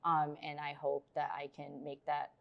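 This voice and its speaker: Casting: young singer from the Philippines, low warm-toned voice, friendly, hesitant, lots of ums. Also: female voice, completely flat delivery, moderate pace, vocal fry, lo-fi sound. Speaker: singer, interview guest. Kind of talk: casual interview answers.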